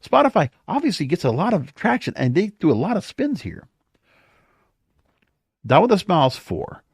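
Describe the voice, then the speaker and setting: A man's voice: high voice, wildly swooping pitch, normal speed, husky, no room sound, studio quality. A man hosting a podcast, giving casual music chart commentary and thinking aloud as he reads out figures. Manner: off-the-cuff, thinking aloud while reading figures. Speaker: podcast host.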